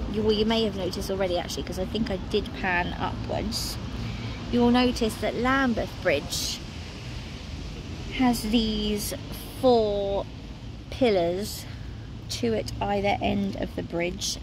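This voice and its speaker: A woman's voice: mid-range voice, creaky. A woman with a London accent guiding a walking tour outdoors, talking in a chatty way with tag questions. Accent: London English. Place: outdoors by a sunny riverside in a city. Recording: loud outdoor street noise. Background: city traffic and outdoor ambience.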